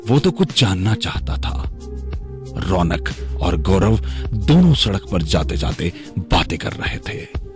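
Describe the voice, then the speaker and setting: A man's voice: deep voice, big pitch swings, slow pace, soft background music. A man reading scripted narration for a radio drama.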